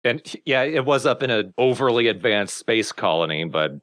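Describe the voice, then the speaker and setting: A man's voice: medium pitch, lively intonation, normal speed, a bit bright, no room sound, clean studio sound. A man hosting a let's play, chatting casually about games in a wry, bluntly critical way. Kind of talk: casual gaming commentary with friends.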